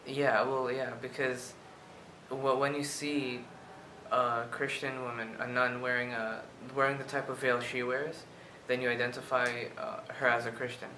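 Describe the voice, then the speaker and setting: A nineteen-year-old man answering an interview question in a halting way. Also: little pitch movement, sharp tone. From South America. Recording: echoey room, faint rumbling noise.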